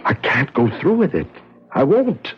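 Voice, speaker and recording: An actor playing an intense, wryly humorous scientist in hiding, in a 1970s radio drama. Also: male voice, mid-range voice, very animated, a little slow, heavy background noise. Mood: desperate, intense. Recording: muffled 1970s radio broadcast recording.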